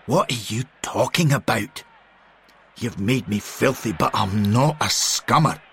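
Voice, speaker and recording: A man's voice: medium pitch, unhurried, gravelly, fairly bright tone, a little hiss or hum, pitched above the performer's own voice. A man voicing a pompous, indignant male football club man who huffs and explains himself with exasperation. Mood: exasperated. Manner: exasperated, huffy, insulting.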